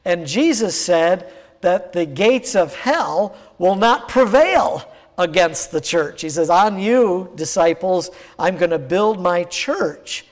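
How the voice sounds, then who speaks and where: A male pastor with a croaky, hoarse voice, preaching a sermon in an easygoing, conversational way with plenty of fillers. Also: high-pitched, expressive, slow pace, in a large hall.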